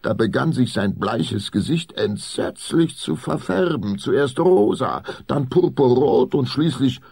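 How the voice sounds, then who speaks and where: A man narrating a spooky children's radio play in a deliberate, expressive storytelling manner. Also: mid-range voice, vocal fry, slightly rough, no echo, studio quality, full character voice. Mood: measured, suspenseful.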